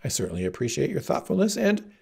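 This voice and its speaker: A man voicing a haughty, lovesick teenage farm girl with affected, gushing, theatrical airs and a husky voice in a storybook reading. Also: mid-range voice, lively intonation, measured pace, warm tone, full character voice. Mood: affected airy disdain.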